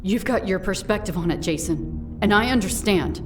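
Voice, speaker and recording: Casting: female actor for an earnest young heroine, mid pitch, animated, peacemaking, slightly off-mic. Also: normal speed, far from the mic, over music, close to an everyday voice. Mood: urgent, conciliatory. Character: Batgirl, earnest conciliatory young female vigilante. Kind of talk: comic dub voice acting.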